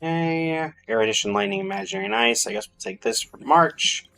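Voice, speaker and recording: A man's voice: on the high side, big pitch swings, a little fast, vocal fry, pushed and bright. A man giving casual gameplay commentary as a streamer, half-muttering his thoughts aloud to himself. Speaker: game streamer. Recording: music behind the voice.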